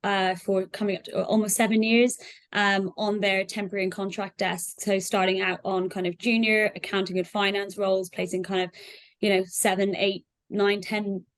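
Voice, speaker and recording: A woman's voice: mid-range voice, little pitch movement, normal speed. A woman speaking conversationally as an interview guest, explaining things in a reflective, loosely hedged way. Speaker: software sales representative, guest.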